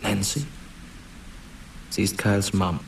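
An actor as the sober storyteller of a German crime radio drama, giving scripted third-person narration. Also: male voice, lowish voice, lively intonation, slow, gravelly, a little hiss or hum, exaggerated voice.

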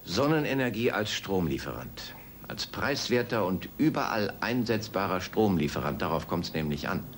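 A man playing an earnest, courteous middle-aged scientist in an audio drama, speaking in a measured, formal way. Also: sits low, sing-song, a little hiss or hum. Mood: grave, bitter. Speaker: actor in a German children's audio drama. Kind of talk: radio play acting.

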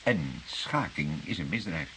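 Deep-voiced, dryly sardonic police detective. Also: male voice, lively intonation, exaggerated voice. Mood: stern, sardonic. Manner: deliberate, dryly sardonic, formal. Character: male senior police detective, dry, probing, unhurried authority. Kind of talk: Dutch radio drama acting. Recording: hissy old radio play recording.

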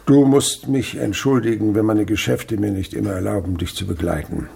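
An older man playing a miserly, gruff provincial uncle in a radio drama, blustering and wry in a husky, gravelly voice. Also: lowish voice, steady tone, moderate pace, dark tone, heavily acted. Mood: formal, measured. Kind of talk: German radio drama acting.

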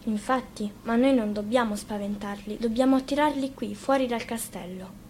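A woman's voice: fairly high pitch, normal speed, a little mellow, natural voice. Woman as a confident, bossy little boy, noisy recording. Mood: firm, instructing. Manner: matter-of-fact, firm. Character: confident, bossy six-year-old boy in a fairy tale.

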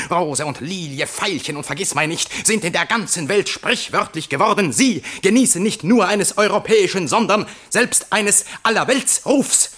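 A self-important poet in a literary radio play. His voice is highish and sharply forceful, and he declaims haughtily, full of indignation and contempt. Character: pompous, indignant poet who despises noble flowers and soft verse. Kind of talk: theatrical radio play acting of literary text.

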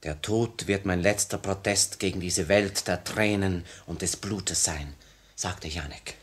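An earnest revolutionary with a very low-pitched voice. He is solemn, heartfelt and devoted, declaiming in the style of 1950s German radio drama. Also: measured pace, a bit bright. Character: earnest, emotional male revolutionary, once fearful, now devoted.

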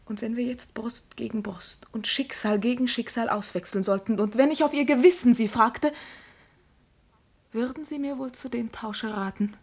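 A woman's voice: a little high, moderate pace. An actress playing a proud, earnest young commoner girl in a classical radio drama, with solemn, formal declamation. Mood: defiant, wounded dignity.

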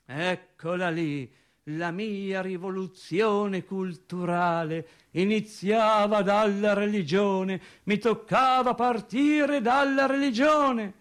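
Working-class husband, high voice, slow delivery, plainspoken, comically indignant, radio drama monologue. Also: male voice. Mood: rueful. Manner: animated, hesitant repetitions, comic indignation. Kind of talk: theatrical monologue for radio, colloquial storytelling.

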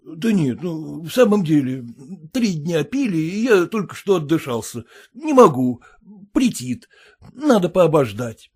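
A man's voice: a little high, big pitch swings, measured pace, darkish tone, breathy edge, pitched above the performer's own voice, exaggerated voice. A man doing a teasing village teacher. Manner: teasing, talkative. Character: village schoolteacher, cheerful, nosy friend.

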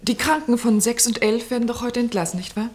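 An actress in a German radio play as a conscientious, persistent clinic assistant, formal and restrained but pointedly reproachful. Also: female voice, fairly high pitch, fairly even intonation, a little fast, heavy background noise, lightly acted. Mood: nervous, dutiful.

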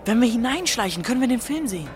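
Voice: medium pitch, sing-song, quick pace, over music, close to an everyday voice. A performer playing a guarded, literal-minded boy of thirteen in a German radio drama, matter-of-fact and questioning.

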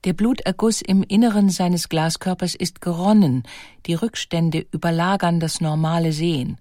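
A woman reading a documentary narration in a clear, composed way. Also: lowish voice, steady tone, normal speed, dark tone, no room sound, studio quality. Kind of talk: scripted narration.

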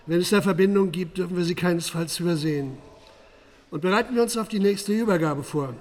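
Actor as high-voiced, brusque police squad leader. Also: male voice, quick pace, a little mellow, close to an everyday voice. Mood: commanding. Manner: brusque, commanding. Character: gruff, driven male police squad leader briefing his team. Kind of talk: radio crime drama acting.

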